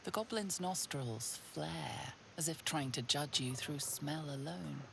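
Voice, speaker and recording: A woman narrating a game in a measured, descriptive tone. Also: low-pitched, gravelly. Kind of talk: scripted narration. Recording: noisy background.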